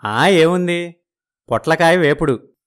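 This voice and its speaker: A man's voice: a little high, animated, takes it slowly, smooth. A man playing a genial, food-loving village brother-in-law in a moral story, effusive and full of exclamations. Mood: eager, agreeable.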